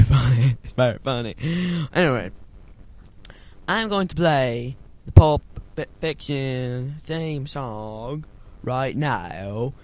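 A man voicing a slow-witted, childlike man, rambling haltingly in a comedy podcast monologue. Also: medium pitch, darkish tone, lightly acted. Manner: rambling, halting, simple-minded.

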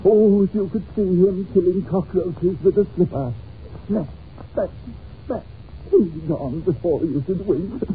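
An actor playing an effusive, wheedling gentleman employer with odd whims in a radio drama, gushing and stammering as he repeats himself. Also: high-pitched, measured pace. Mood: eager, coaxing. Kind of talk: old-time radio drama acting. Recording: muffled, noisy old-time radio recording.

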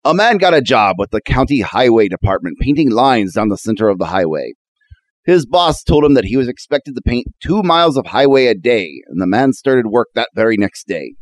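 A man with a mid-pitched voice speaks like a showman announcer. He delivers scripted gags and plugs for events, recorded dry with very little room sound. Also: sing-song, brisk, no room sound, poor audio. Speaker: guest announcer and joke teller. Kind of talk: scripted joke telling and event promotion.

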